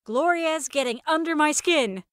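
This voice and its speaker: A woman playing an excitable, sarcastic young heroine in audio fiction, with emphatic theatrical delivery. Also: high-pitched, a little slow, no echo, clean studio sound, pitched above the performer's own voice. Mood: incredulous, excited. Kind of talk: first-person audio fiction narration with acted dialogue.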